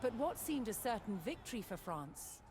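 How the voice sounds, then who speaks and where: A woman reading scripted historical documentary narration in a measured, dramatic storytelling manner. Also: a little high, very animated. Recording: loud music under the voice. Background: loud background music.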